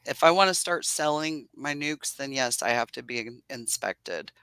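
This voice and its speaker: Bright, soothing-voiced beekeeping woman. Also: extremely low pitch, lively intonation, moderate pace, clear, resonant tone, no room sound. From Indiana. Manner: friendly, explains patiently, laughs often. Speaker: beekeeper guest. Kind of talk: casual interview chat.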